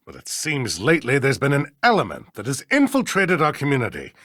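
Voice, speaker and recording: Older male judge, American accent, pompous, blustery, very animated intonation, dry studio sound, video game character. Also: a little high, no room sound, heavily acted. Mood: grumbling. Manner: blustery, theatrical, throat-clearing, self-important.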